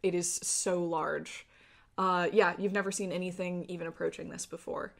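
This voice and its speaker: A woman game master narrating a tabletop fantasy game with deliberate, descriptive storytelling. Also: lowish voice, steady tone, vocal fry. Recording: music playing behind. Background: music.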